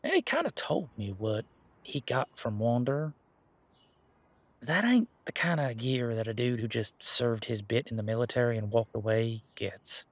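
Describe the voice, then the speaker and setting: Guy playing easygoing werewolf bodyguard in audio rp, medium pitch, bit husky, folksy halting casual talk. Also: lively intonation, no echo. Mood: musing, intrigued. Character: young male werewolf bodyguard, protective, easygoing, bashful. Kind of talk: roleplay audio drama, conversational monologue to listener.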